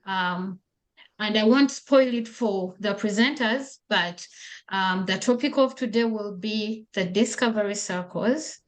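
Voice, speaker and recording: A woman's voice: mid-range voice, a little slow, poor audio. A woman from Uganda hosting a video call, giving welcoming remarks with thanks and many fillers. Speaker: event host.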